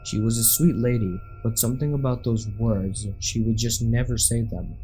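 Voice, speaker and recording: A man's voice: medium pitch, fairly even intonation, normal speed, mellow, faint music behind, low-quality recording. A man reading scripted first-person accounts of scary true stories as a narrator.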